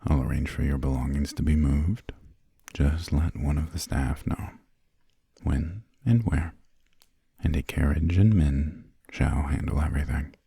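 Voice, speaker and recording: An aristocratic vampire master of a castle, performed by a man, speaks extremely low in a husky, breathy murmur. His delivery is slow and genteel, with an imperious formality suited to intimate ASMR roleplay. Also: warm tone, no room sound, studio quality, full character voice. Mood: courteous, brisk. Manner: formal, haughty, old-fashioned genteel phrasing. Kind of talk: whispered ASMR roleplay, slow scripted monologue.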